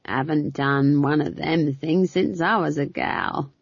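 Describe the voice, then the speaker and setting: A woman's voice: low-pitched, slow pace, vocal fry, breathy edge, no room sound, dull audio, exaggerated voice. A woman voicing a jolly, bawdy old witch with a cracked voice and a drawling folksy dialect in an audiobook. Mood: gleeful, reminiscing. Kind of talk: audiobook character voice.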